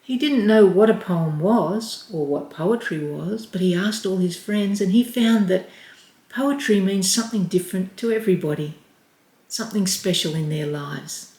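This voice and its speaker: A woman reading a children's picture book aloud as storyteller, in a gentle, clear way. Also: mid-range voice, normal speed. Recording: roomy echo.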